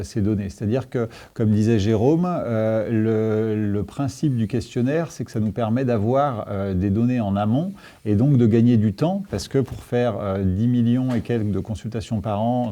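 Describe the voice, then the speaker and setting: Very dark-voiced man, hesitant and careful. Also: on the low side. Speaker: panel guest. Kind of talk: explanatory interview answer.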